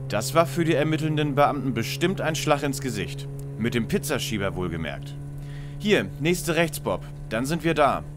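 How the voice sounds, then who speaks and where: A man's voice: mid-range voice, animated, normal speed, over music, lightly acted. A man playing a bossy know-it-all boy detective leader in a parody audio drama, articulate and commanding. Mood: matter-of-fact.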